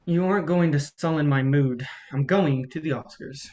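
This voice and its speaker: A man's voice: on the high side, moderate pace, dull audio, a crowd in the background, lightly acted. A man in a staged play reading, playing an earnest, self-effacing young assistant with a hesitant, sincere way of talking. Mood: defensive.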